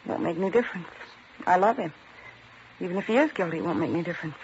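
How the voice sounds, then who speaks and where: A woman's voice: lowish voice, racing, lightly acted. A woman with an American accent playing a guarded, lovestruck wife under police questioning, in earnest, melodramatic old radio drama style. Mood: anxious, devoted. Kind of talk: 1940s-50s radio drama acting. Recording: dull, faintly noisy vintage radio recording.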